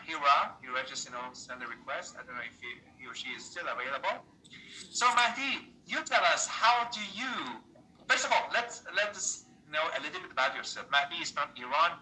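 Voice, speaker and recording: Bright, playful livestream English teacher. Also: male voice, on the high side, slight background noise, low-quality recording. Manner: playful, teasing, laughs often. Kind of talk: casual live lesson chat.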